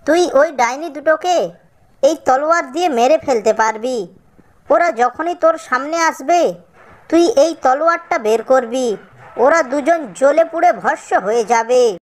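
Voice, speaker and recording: Man playing a high-voiced solemn holy sage. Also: lively intonation, a little slow. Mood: solemn, commanding. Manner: emphatic, reassuring, instructing. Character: solemn, protective holy sage with magic powers, cartoon.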